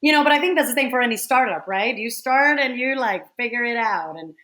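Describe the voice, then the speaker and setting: A woman in her forties from the Dominican Republic, telling stories in a casual interview with quick, animated restarts. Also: a little high. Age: 40s. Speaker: guest, theater director.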